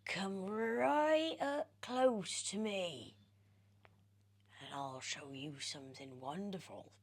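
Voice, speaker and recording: British asmr lady doing a creepy little old man, really slow creaky drawl, husky and coaxing. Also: medium pitch. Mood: eerie coaxing. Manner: drawn-out, breathy, half-whispered coaxing. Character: very small old man, mysterious, beckoning and eerie.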